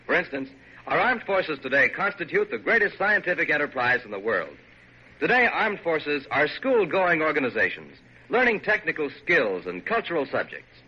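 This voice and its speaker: A man's voice: a little high, sing-song, moderate pace, husky. A man with an American accent reading a scripted public service message as a 1940s radio announcer. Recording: muffled old radio broadcast.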